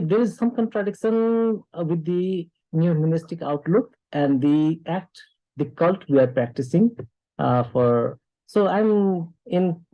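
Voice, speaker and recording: A man from Nepal with a Nepali accent, speaking off the cuff in a discussion in a halting, hesitant way with frequent pauses. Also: on the high side, dark tone, dry recording, highs cut off. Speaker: discussion participant. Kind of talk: unscripted discussion remarks.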